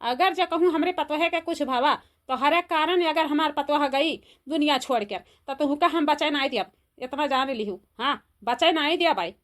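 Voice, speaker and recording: A bright, high voice with an Awadhi accent: an older village mother-in-law acted by a woman in a comedy cartoon. She scolds hard and keeps hammering the same indignant questions. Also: normal speed, few pauses. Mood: angry scolding. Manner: scolding, repetitive rhetorical questions.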